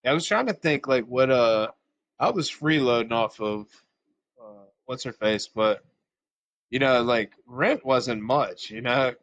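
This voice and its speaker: A man's voice: mid-range voice, unhurried, a bit bright, no room sound. A man in his thirties from Northern Virginia who co-hosts a podcast in a laid-back, joking way. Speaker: podcast co-host, veteran. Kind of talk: casual chat. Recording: slightly dull lo-fi mic.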